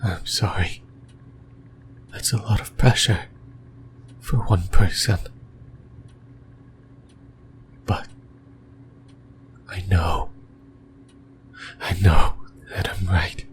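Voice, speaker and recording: A man playing a possessive, lonely sleep paralysis demon in a creepy ASMR roleplay, whispering breathily in slow, halting phrases. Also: low voice, steady tone, background noise. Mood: intense, insistent. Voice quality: breathy, mostly voiceless whisper. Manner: slow, deliberate, halting phrases with long pauses.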